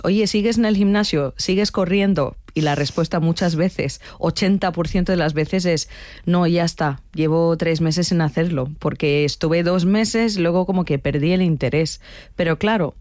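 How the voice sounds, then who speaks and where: A middle-aged woman speaks as a guest in a radio interview. Her voice sits a little low, and she explains things at length in a conversational way. The sound is dry and close. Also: darkish tone, no echo. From Chennai, southern India. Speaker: interview guest, university professor and dancer.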